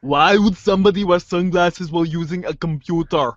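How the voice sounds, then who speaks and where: A man's voice: high-pitched, fairly quick, dry recording, lo-fi sound, close to an everyday voice. A man voicing a haughty, wordy teenage girl with pompous, florid phrasing in an amateur comic script reading. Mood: condescending. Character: haughty, verbose teenage girl, snarky intellectual.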